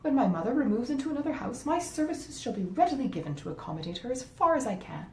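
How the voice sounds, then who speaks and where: A woman reading a classic novel aloud as a pompous, easily persuaded, miserly gentleman husband, measured and formal. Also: sing-song. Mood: agreeable, pompous. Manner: measured, self-satisfied, formal. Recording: roomy echo, faint rumbling noise.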